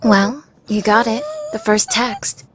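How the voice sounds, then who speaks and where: Woman playing cool, deadpan anime maid, mid-range voice. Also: mid-range voice, slow, slight background noise. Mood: teasing. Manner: deadpan, polite, sly. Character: cool, dry teenage maid to a rich girl. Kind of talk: anime fandub radio play acting.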